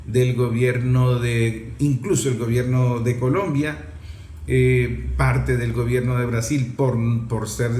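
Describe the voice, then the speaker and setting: A man's voice: mid-range voice, a little mellow. A man giving unscripted political commentary in an emphatic, opinionated and explanatory manner. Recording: echoey room, faint background noise.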